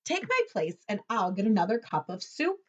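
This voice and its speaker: A woman's voice: mid-range voice, very animated, normal speed, muffled recording. A woman voicing a friendly, eager turtle who hosts lunch and calls out invitations in a picture book read-aloud. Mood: friendly, obliging. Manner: hollers invitations brightly. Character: eager, hospitable turtle host, friendly and fussing.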